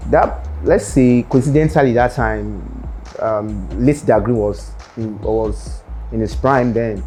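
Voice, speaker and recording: A young Nigerian man talking about his rap career in an interview, unhurried and full of fillers and restarts. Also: fairly low pitch, dark tone. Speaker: rapper interviewee. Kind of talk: casual interview monologue.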